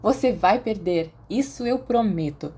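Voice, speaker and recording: A woman's voice: medium pitch, animated, measured pace, mellow, breathy edge. A woman voicing a brash, fiery teenage schoolgirl in an audiobook, with a cheeky, emphatic and dramatic way of talking. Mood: indignant. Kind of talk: audiobook character acting.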